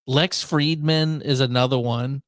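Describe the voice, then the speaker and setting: A man's voice: medium pitch, steady tone, a little slow, no room sound. Middle-aged American man, casual and dry-recorded. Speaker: podcast host. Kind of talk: casual chat.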